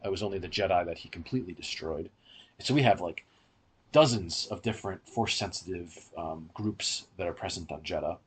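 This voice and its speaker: Male podcast host, very low register, husky edge, casual explanatory delivery. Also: a little fast. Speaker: podcast host reviewing audio dramas. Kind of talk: casual explanatory review chat.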